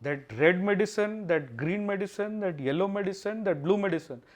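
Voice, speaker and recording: An Indian man speaks at a quick pace in a fairly high voice. He is a podcast guest arguing his views, leaning on rhetorical questions and repeated phrases to drive each point home. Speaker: school chairman, interview guest. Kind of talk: opinionated podcast discussion.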